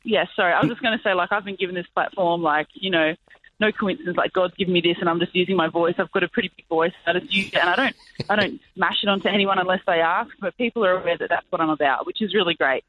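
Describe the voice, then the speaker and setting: An upbeat young Australian woman talks fast and freely over a tinny phone line. Her voice is fairly low for a woman. She fills her speech with "like" and "you know". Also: dry recording. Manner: upbeat, talkative, many "like" and "you know" fillers. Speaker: guest, professional basketball player. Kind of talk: casual interview chat.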